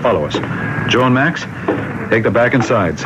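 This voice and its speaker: Man acting a gruff police captain, noisy old radio. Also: medium pitch, lively intonation, normal speed, dull audio, close to an everyday voice. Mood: encouraging, brisk.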